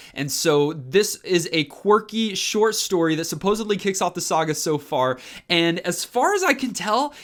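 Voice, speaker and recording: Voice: mid-range voice, fairly bright tone. An informal, enthusiastic video host talking casually through a review and repeating words for emphasis. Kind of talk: casual chatty review vlog to camera.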